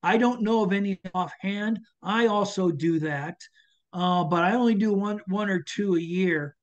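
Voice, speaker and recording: A man's voice: high voice, normal speed. An older man, a chef, answering audience questions on a video call, hesitant with many restarts and fillers. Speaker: chef and foraging instructor. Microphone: video call webcam. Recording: dull lo-fi video call audio.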